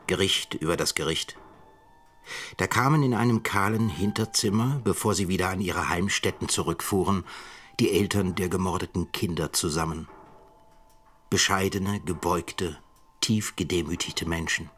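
An actor playing a grave, defiant professor in a radio drama, with a solemn, literary delivery. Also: male voice, low-pitched, normal speed, fairly bright tone. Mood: grave narration. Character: grave, defiant male professor chronicling a trial.

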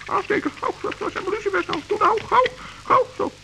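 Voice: very high-pitched, vocal fry, background noise. A performer voicing a small, kind, earnest forest gnome in an old children's radio play. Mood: hurried. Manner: earnest, hurried, stammering when flustered.

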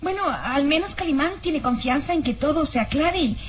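A woman playing an anxious, gentle young bride-to-be, hesitant, breathless and faltering, in an old radio serial. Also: high-pitched, lively intonation, brisk. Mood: nervous, evasive. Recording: old radio recording, faint noise.

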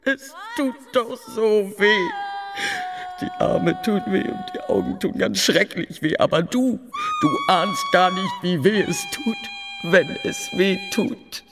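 A self-pitying, wounded mother in a radio play. The delivery whines and drags out each lament. The pitch leaps wildly from high wail down to a mutter. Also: huge pitch swings, over music, exaggerated voice. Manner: whining, drawn-out laments, swooping between wail and mutter. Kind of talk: German radio play acting.

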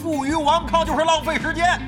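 A crude, swaggering older gamer taunts at length in a very high voice. He is brash and bandit-like, drenched in long reverb, with clear music behind him in this audio drama. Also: level tone, a little fast. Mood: taunting. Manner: brash, taunting, coarse-tongued and long-winded.

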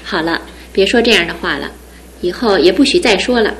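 Actress as a caring new bride, radio drama, even-tempered, mid-range voice. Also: female voice, medium pitch, a little fast, slight background noise, close to an everyday voice, rough recording. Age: young adult. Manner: warm, calm, gently firm. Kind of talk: 1970s radio drama acting.

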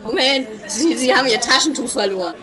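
Man acting a grumbling, deadpan guru. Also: very high voice, brisk, a crowd in the background. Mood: dry. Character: grumpy, dry, banished male guru, lazy and opinionated. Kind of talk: amateur comedy radio play acting.

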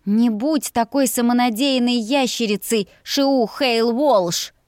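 A woman voicing a proud, fiery young heroine, sharp, scolding and defiant, in an audiobook story. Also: high voice, expressive, a little slow, no echo, clean studio sound, voice pushed up. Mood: indignant.

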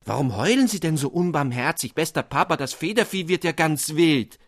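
A man's voice: a little high, lively intonation, normal speed, a bit bright, no echo, clean studio sound, voice pushed up. A man voicing a cheerful, simple young noblewoman in a radio reading of a fairy tale, with eager exclamations. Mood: indignant.

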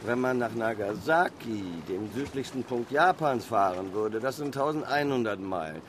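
A man's voice: mid-range voice, animated, normal speed, noisy background, close to an everyday voice. A man playing a blunt harbour pilot. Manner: blunt, down-to-earth, wary. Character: gruff, practical harbour pilot and boat owner. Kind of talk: radio play acting.

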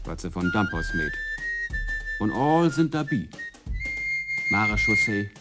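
A young thief, Low German accent, deep voice, evasive, radio play. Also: male voice, very animated, measured pace, over loud music. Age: young adult. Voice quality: broad dialect speech. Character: young male airbase brass thief, sullein and evasive. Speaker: young thief questioned by police. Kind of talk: radio drama acting.

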